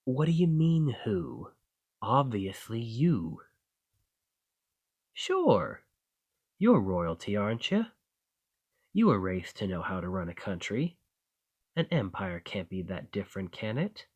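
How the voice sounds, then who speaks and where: A man's voice: on the low side, sing-song, slow pace, pauses often, a little mellow, slightly rough, dull audio, heavily acted. A man playing a roguish bandit leader in an audio roleplay, confident and wry, with a folksy, teasing, drawling manner. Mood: scheming, persuasive. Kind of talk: scripted roleplay acting addressed to the listener.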